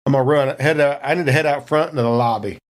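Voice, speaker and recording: A man's voice: mid-range voice, fast. A man casually narrating his character's moves as a player in a tabletop game, in folksy, colloquial phrasing.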